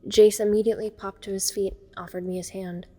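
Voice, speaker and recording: A woman's voice: medium pitch, level tone, brisk, warm tone, natural voice. A woman playing a teenage girl who narrates her horror ordeal in the first person, observant and uneasy. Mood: wry, fond. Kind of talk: first-person dramatic audio story narration.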